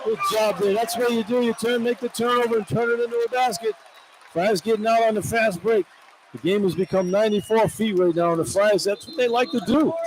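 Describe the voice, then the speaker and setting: A man's voice: sits high, fairly quick. A man giving informal, opinionated color commentary and analysis on a live radio basketball broadcast. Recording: noisy live arena broadcast. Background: arena crowd ambience.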